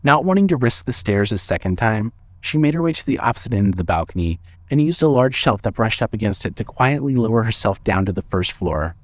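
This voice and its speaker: A man narrating a scripted suspense story in a measured, dramatic storytelling manner. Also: lowish voice, sing-song, dry recording.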